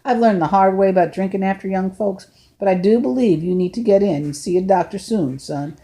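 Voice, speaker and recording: A woman's voice: mid-range voice, fairly quick, warm tone, close to an everyday voice. Woman putting on older man, grandfather, folksy, teasing, joking, raised voice, audiobook. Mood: kindly.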